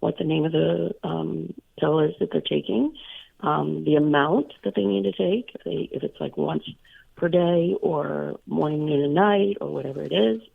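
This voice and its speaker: Hoarse woman co-host calling in by phone; gravelly, raspy, casual and filler-heavy. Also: sits low, normal speed. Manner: chatty, many fillers. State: hoarse, recovering from illness. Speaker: radio co-host. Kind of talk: casual radio chat. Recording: tinny phone line.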